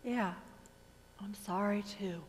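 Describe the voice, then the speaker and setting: A woman's voice: mid-range voice, slow, lightly acted. A woman playing a bereaved, heartbroken mother in a staged music drama. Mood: grieving. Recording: echoey hall reverb.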